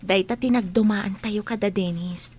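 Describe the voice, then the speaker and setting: A woman's voice: medium pitch, moderate pace, a little mellow, husky, lightly acted. A woman playing a supportive young female schoolmate in a radio drama, earnest and understanding. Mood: defending, sympathetic.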